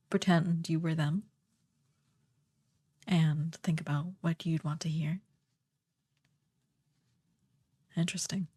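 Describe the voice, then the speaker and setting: A woman playing a haughty, sardonic evil sorceress queen in a soft, breathy, imperious voice for a fantasy ASMR roleplay. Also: on the low side. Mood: intrigued, calculating. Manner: imperious, theatrically disdainful, drawn-out delivery.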